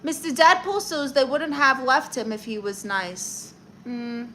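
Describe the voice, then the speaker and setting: Brassy Brooklyn woman, bright voice. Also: high-pitched, slight background noise. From Brooklyn, New York. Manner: brassy, sassy, quick comebacks. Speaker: livestream host giving advice. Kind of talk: casual livestream chat reading comments.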